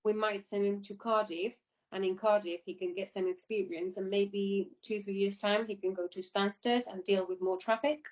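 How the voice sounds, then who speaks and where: Female interviewee, informal and explanatory, circles back over her points, average pitch, muffled lo-fi online call audio. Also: steady tone, normal speed. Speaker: air traffic controller being interviewed.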